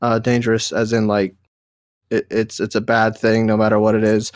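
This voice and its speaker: A man's voice: on the low side, monotone, normal speed, no room sound, clean studio sound. A young man from the States, a little nasal from a cold, telling a rambling story in casual chat with friends. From Southern United States. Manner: rambling, self-correcting storytelling. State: recovering from a cold, a bit nasal. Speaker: podcast co-host.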